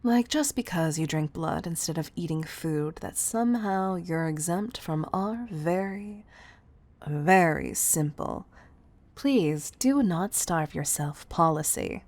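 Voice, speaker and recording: Woman acting stern, low-voiced female CEO. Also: expressive, darkish tone, full character voice. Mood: exasperated, scolding. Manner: exasperated, clipped, deliberate emphasis. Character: stern, commanding female CEO scolding an employee. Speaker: audio roleplay performer. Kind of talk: scripted audio roleplay monologue.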